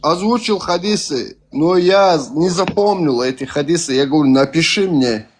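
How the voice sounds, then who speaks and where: A man's voice: fairly high pitch, moderate pace. A man with a Caucasian accent, calling in to a live voice chat, talking casually and rambling with many hesitations and restarts. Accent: Caucasian-accented Russian. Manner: rambling, hesitant, fillers and self-corrections. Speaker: caller on a live stream.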